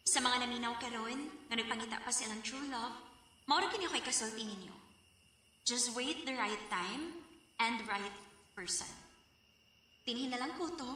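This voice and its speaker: A woman's voice: fairly high pitch, sharp tone, slight background noise. An actress with a Cebuano Filipino accent playing a lovestruck young woman in a radio drama. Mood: warm narrating. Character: lovestruck young woman confessing love to her friend.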